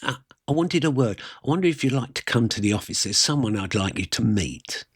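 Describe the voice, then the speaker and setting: A man's voice: mid-range voice, expressive, fairly quick, slightly rough, no echo, clean studio sound, voice pushed up. A man voicing a scruffy club manager in a story reading, hesitant and ingratiating as he coaxes people along. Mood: friendly, coaxing. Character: nice, scruffy male club manager, hesitant but pushy. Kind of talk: character dialogue in a story reading.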